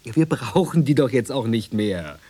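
A woman's voice: extremely low pitch, animated, normal speed, warm tone, breathy edge, full character voice. An actress playing a newly freed woman, wistful and jubilant, speaking with open emotion in a radio drama. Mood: relieved, dreamy.

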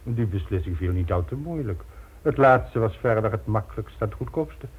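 An actor in a radio play voicing a suave, ruthless criminal mastermind, smug and polished, coolly condescending. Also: male voice, fairly low pitch, lively intonation, a little fast, slightly rough. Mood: coldly menacing. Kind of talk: Dutch radio play acting. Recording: dull lo-fi old radio recording.

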